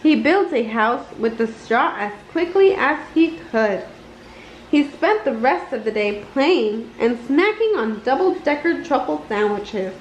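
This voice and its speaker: High, animated woman reading a storybook. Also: unhurried. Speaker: storybook reader. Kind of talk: expressive children's read-aloud. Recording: roomy, slightly dull, noisy home recording.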